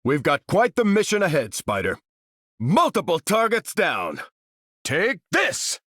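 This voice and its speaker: An actor playing a bold, determined battlefield hero in a video game, rallying soldiers in a clipped military delivery. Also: male voice, high voice, wildly swooping pitch, a little slow, a bit bright, slightly rough, no room sound, clean studio sound, exaggerated voice. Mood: urgent, rallying. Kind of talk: video game character voice lines.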